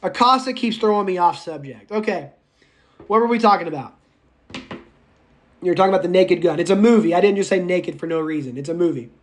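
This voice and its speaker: A raspy-voiced male public speaking instructor lecturing a live online class, speaking loudly without a microphone, chatty and prone to tangents. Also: high-pitched, fairly quick, long pauses, a little hiss or hum. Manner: speaks loudly, projecting as if shouting; enthusiastic, goes off on tangents and laughs. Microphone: no microphone, voice caught by the room recorder.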